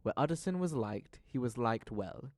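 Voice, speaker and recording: Male narrator for literary audio drama, mid-range pitch, scripted storytelling read, dry acoustic with no room. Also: lively intonation, normal speed, dry recording.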